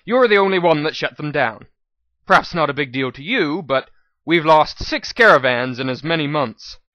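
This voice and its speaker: A man voicing a shrewd, cheerful female pony shop manager in a dramatic audiobook reading, bright and businesslike. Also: fairly high pitch, lively intonation, normal speed, dry recording, dull audio. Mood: cool, matter-of-fact.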